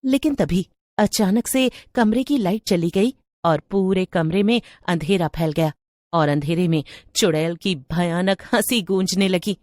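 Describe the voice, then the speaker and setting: Unhurried woman narrating a horror story. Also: on the low side, a little mellow.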